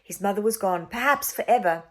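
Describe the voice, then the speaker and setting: A woman reading a children's book aloud as an expressive story narrator. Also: mid-range voice, a little fast.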